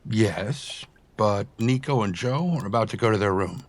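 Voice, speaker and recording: An actor playing a caring, fussing partner and stepdad in an animated comic drama, with a soft, affectionate manner. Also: male voice, lowish voice, normal speed, no room sound. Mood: affectionate, teasing. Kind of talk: animated motion-comic voice acting.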